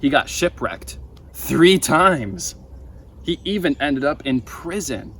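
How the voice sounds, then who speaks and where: Young guy reading a kids story out loud, slow n expressive, mid pitch, outside w a bit of noise. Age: young adult. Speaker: storybook reader for a camp story time. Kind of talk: reading a children's Bible story aloud. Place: outdoors on a wooden deck by a pond. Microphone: camera a few feet away. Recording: outdoor ambient noise.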